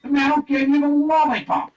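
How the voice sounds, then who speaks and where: An actor playing an earnest, exasperated police sergeant in a comic radio play. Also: male voice, very high voice, fairly even intonation, brisk, soft background music.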